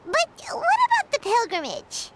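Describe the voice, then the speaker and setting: Squeaky, excitable little fairy companion. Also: female voice, normal speed, slight background noise, exaggerated voice. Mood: puzzled. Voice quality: squeaky, childlike. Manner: exclaiming, refers to herself in third person. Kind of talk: video game character acting. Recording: loud children's game music behind.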